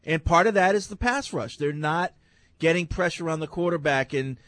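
A man's voice: a little high, normal speed, a bit bright, no room sound. Male host, agreeable, hedges his takes; dry studio sound. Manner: agreeable, hedging. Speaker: radio sports host. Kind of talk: sports talk radio.

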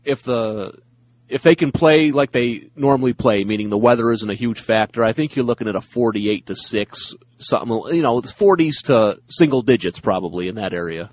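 A man's voice: mid-range voice, moderate pace, low-quality recording. A man with a nasal voice co-hosting a sports talk show, giving easygoing football analysis. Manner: easygoing analysis, laughs often. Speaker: sports radio co-host, former player.

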